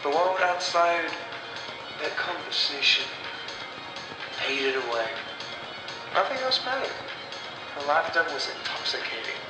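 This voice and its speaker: A man narrating a scripted horror story as the storyteller, in a deliberate, dramatic way with pauses. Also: on the high side, lively intonation. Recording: echoey, distant-sounding voice over music. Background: music.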